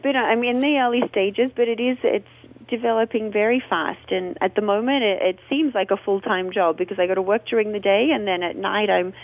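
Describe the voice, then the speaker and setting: A woman's voice: mid-range voice, fairly quick. A woman, a guest in a radio interview, telling her story in a chatty, expansive way. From Australia. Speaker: radio guest, food blogger. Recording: tinny phone line.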